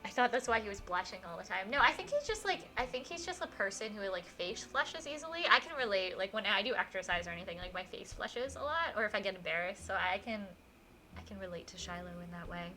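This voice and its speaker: Bright sounding girl streamer reading a visual novel out loud, chatty and reactive, bit of music in back. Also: medium pitch, fast. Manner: animated, reacts out loud to the story. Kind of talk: casual livestream chat and reading game text aloud. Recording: faint music behind.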